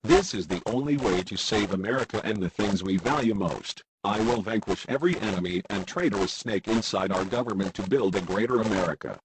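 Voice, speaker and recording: A man's voice: on the low side, a little fast, hardly any pauses, background noise, natural voice. A man playing a grave, embattled American president giving a formal, declamatory emergency address. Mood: resolute. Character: grave, embattled male President of the United States.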